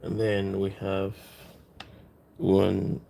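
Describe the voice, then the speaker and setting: Gravelly, even-toned man giving a tutorial. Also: low voice, very slow. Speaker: presenter of a DIY electronics tutorial. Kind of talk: casual hands-on tutorial talk, explaining as he works.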